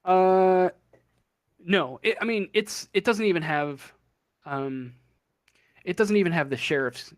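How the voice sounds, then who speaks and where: A man narrating an improvised tabletop game as game master, haltingly searching for his words. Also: high-pitched, dry recording, clean studio sound.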